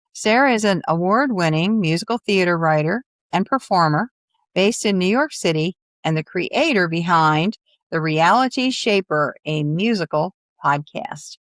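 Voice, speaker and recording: A woman's voice: fairly low pitch, no echo. Woman hosting an interview, close dry sound. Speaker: interview host. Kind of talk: friendly conversational interview.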